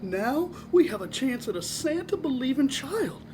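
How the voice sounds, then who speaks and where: A woman's voice: high-pitched, sing-song, moderate pace, background noise. A woman playing a gleefully evil snowman in an audio drama, gloating with theatrical mock sweetness.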